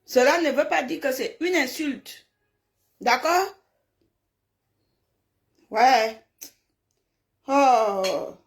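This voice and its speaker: Woman, rather high voice, very unhurried pace, blunt live-stream chat, emphatic repetition. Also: expressive, gravelly. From francophone Africa, grew up in a village. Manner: blunt, scolding, emphatic, repeats herself. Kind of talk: casual live stream chat, replying to viewers' comments.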